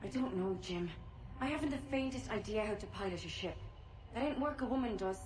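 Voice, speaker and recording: A woman's voice: mid-range voice, expressive, fairly quick, a little mellow, distant mic, a little hiss or hum, lightly acted. An actress playing an eager young woman in a game cutscene, asking to learn sailing and fighting. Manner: eager, questioning.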